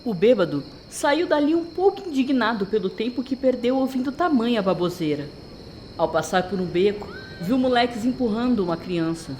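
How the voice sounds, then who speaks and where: A woman's voice: on the high side, animated, moderate pace, darkish tone, slight background noise, poor audio. A woman narrator reading a scripted story in a dramatic storytelling style.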